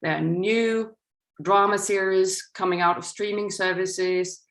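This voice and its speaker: A woman's voice: mid-range voice, steady tone, unhurried. A middle-aged woman with a Danish accent, a non-native English speaker giving an earnest inaugural lecture to journalism students over a video call, partly reading from a script. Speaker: guest lecturer.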